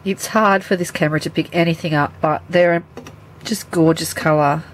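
A woman's voice: low voice, fairly even intonation, normal speed, a little hiss or hum. A woman with a stuffy, nasal voice from a cold, chatting casually while she shows her pets to a handheld camera at home. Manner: chatty, explains as she handles the animals. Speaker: pet keeper showing her animals. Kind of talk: casual show-and-tell vlog. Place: indoors at home, by a terrarium.

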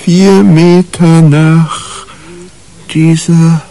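An actor playing a theatrical clairvoyant in a radio crime drama, speaking in halting, drawn-out phrases. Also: male voice, on the high side, level tone, gravelly, dark tone, heavily acted, rough recording. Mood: trancelike, halting. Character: self-styled male clairvoyant, theatrical and halting.

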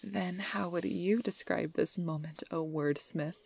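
A woman's voice: lowish voice, steady tone, unhurried, creaky, a little mellow, slightly rough, full character voice. A woman playing an obsessive, sweet-talking fey lover in an ASMR roleplay, speaking intimately and often breathily. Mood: dreamy, doting. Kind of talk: ASMR roleplay, close personal attention.

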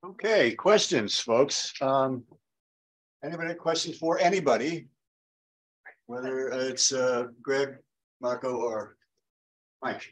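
A man moderating the question session, brisk and directing the audience. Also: medium pitch, poor audio.

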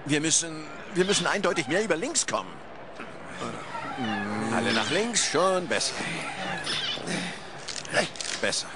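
A bossy, gruff man dubs football clips with a lively, slightly raised voice. Loud stadium noise fills the background. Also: a little high, a little slow, long pauses. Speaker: comedy dubber. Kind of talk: comic dub of football footage.

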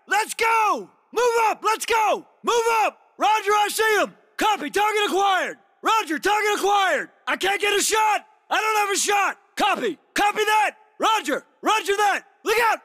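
An actor playing an urgent, battle-hardened special forces soldier in a military shooter game, barking clipped, commanding combat callouts. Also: male voice, extremely high pitch, animated, moderate pace, hardly any pauses, bright, forceful tone, raised voice, long reverb tail, full character voice. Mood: urgent, battle shouting.

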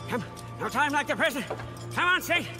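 A man's voice: very high voice, lively intonation, brisk, music in the background. A man voicing a manic, devout mechanic sidekick in a video game, fawning and repeating himself with feverish preacher-like zeal. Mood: excited, urging. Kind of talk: video game character acting.

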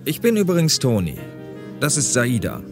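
A man plays a friendly, casual police pilot in an audio drama. The voice is mid-pitched and very varied in melody, with faint music behind it. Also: huge pitch swings, normal speed, vocal fry. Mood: casual, dry. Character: easygoing male police pilot, friendly, dry colleague. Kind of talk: German audio drama acting.

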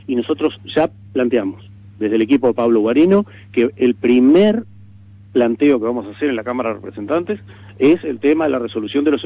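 A man with a Uruguayan accent explaining proposals in a radio interview, deliberate and repeating words for emphasis. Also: medium pitch, gravelly, breathy edge. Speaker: political interviewee. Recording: narrow tinny AM radio stream.

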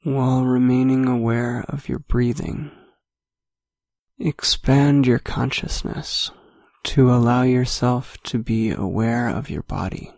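Slow, soft-spoken man with a gravelly voice. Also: medium pitch, steady tone, dry recording, clean studio sound. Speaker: meditation guide. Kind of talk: guided meditation.

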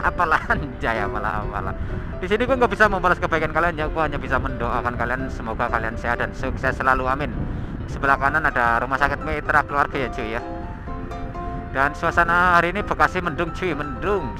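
A young man, chatting casually as he rides a motorbike through city traffic, recorded on a helmet camera mic over loud background music. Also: fairly high pitch, poor audio. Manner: chatty slangy banter, frequent laughs. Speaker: motovlog host. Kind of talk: casual riding commentary. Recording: road wind noise with loud background music.

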